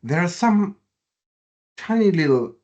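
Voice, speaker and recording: A man from Germany with a German accent, explaining in earnest how to learn his native language. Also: takes it slowly. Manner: earnest, explanatory, with lively pitch swings. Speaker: language teacher. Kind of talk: language lesson to camera. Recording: dull lo-fi sound.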